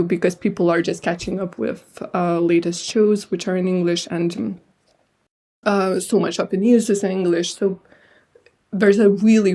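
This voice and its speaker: Young woman guest, mellow tone, hesitant and reflective, remote interview voice. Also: lowish voice. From Lithuania. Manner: thoughtful, hesitant with many fillers. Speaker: translator and writer, guest. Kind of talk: casual interview answers.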